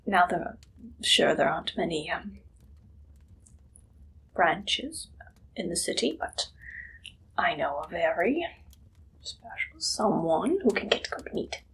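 Woman as shifty Victorian female pie seller for ASMR roleplay: breathy half-whisper, slow, coy and eager. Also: lowish voice, sing-song, fairly bright tone, exaggerated voice, poor audio. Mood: secretive, flustered. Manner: halting, coy, secretive. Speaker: ASMR performer. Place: small dim indoor room.

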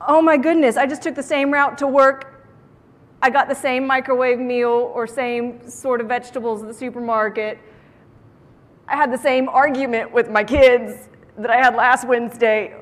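A woman giving a motivational keynote talk to an audience on stage, with an animated, theatrical delivery and playful emphasis. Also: fairly high pitch. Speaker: keynote speaker. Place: large indoor conference room, on stage. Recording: echoey conference hall sound.

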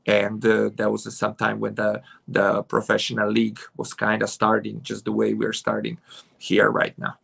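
A man's voice: lowish voice, completely flat delivery, normal speed, creaky, fairly bright tone, breathy edge. A man in his forties from Serbia with a Serbian accent, a futsal coach speaking hesitantly with many fillers on a video call from home. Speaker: futsal head coach, guest. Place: room at home with curtains.

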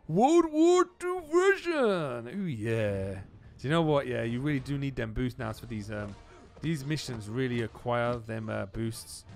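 A man giving casual, excitable gameplay commentary as a video host, often repeating phrases for emphasis. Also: mid-range voice.

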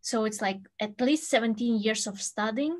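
A woman's voice: a little high, normal speed. Tatar-speaking woman pianist in an interview. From Russia. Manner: non-native English, thoughtful, laughs easily. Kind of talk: interview answers. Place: home room with a piano, indoors.

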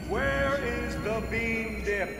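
A man voicing a huge, arrogant demon in a parody cartoon, contemptuous and slow. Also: very high voice, creaky, exaggerated voice. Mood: scornful, menacing. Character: huge arrogant demonic fire spirit, scornful partygoer. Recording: echoing voice under loud music.

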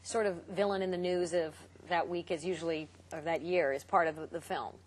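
A woman's voice: lowish voice, steady tone, brisk, breathy edge. A woman hosting an interview, chatty and joking, reading out viewer questions to her guests. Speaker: interview host.

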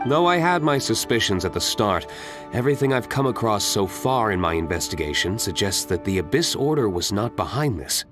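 Young game nobleman, reserved, low voice, formal and composed, music underneath. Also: very animated. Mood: serious, resolute. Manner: composed, formal, measured delivery. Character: reserved, brooding young nobleman, calm and self-assured. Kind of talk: video game character dialogue. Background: background music.

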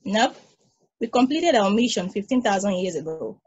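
Woman reading a calm ageless probe, husky, patient, philosophical. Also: medium pitch, normal speed, dull audio. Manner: calm, reasoned explaining. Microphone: video call.

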